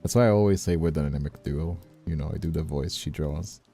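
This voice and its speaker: Casting: male streamer, very low and gravelly, lively intonation in casual chat, faint music behind. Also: brisk. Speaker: streamer and audio creator.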